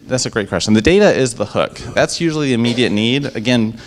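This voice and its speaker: A man pitching his startup to a live audience and then answering their questions on a handheld mic. He talks with upbeat enthusiasm and often restarts his sentences. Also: lively intonation, fast, slight background noise. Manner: enthusiastic, upbeat salesman patter with frequent restarts. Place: event room before a live audience. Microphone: handheld microphone.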